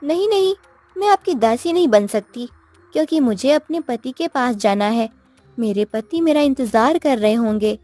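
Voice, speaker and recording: An eager young village wife played by a woman storyteller in a cartoon tale. Her voice is pitched high and full of surprised questions and exclamations. Music plays softly behind the dry recording. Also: animated, normal speed, no echo. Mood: protesting. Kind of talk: cartoon moral story acting.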